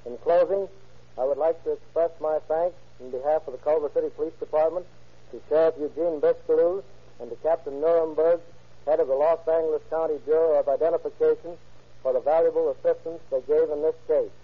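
Male police lieutenant reading a formal statement, husky, tinny old-radio sound. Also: a little high, steady tone, fairly quick. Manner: stiff and formal. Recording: tinny 1930s radio recording.

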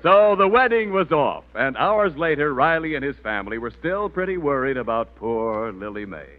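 Animated American vintage radio announcer, male. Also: medium pitch, normal speed, slight background noise. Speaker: announcer and narrator. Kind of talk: 1940s radio announcing, scripted narration. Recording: muffled vintage radio recording.

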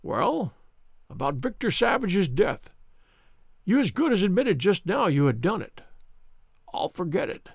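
A man voicing a gravely sick, pleading man with a weak, whispery, labored voice in an audiobook story. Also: high-pitched, very animated, a little slow, long pauses, no echo, studio quality, full character voice. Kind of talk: dramatized audiobook reading.

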